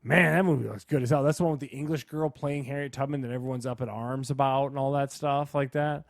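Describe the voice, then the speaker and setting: A man co-hosting a podcast in casual chat, with an animated, slangy, opinionated way of talking. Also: medium pitch, quick pace, creaky, no room sound, studio quality. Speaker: podcast co-host.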